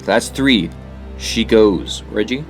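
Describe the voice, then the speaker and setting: Low-voiced earnest teenage boy over music. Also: takes it slowly. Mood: grave. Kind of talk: audio drama narration and dialogue. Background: music behind the voice.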